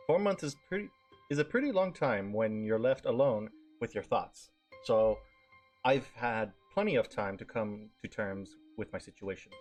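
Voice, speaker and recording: A man's voice: on the low side, expressive, normal speed, a little mellow, faint music behind. A male streamer reading a visual novel aloud with casual asides, stumbling over words and swearing casually.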